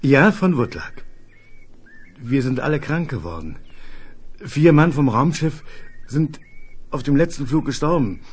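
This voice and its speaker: A gravely ill, wary crewman in a 1970s radio play. His voice is rough and breathy, at a middling pitch. He speaks in halting, weary phrases that still rise and fall dramatically. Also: expressive. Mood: grim. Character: gravely sick male spaceship crewman, grim, wary.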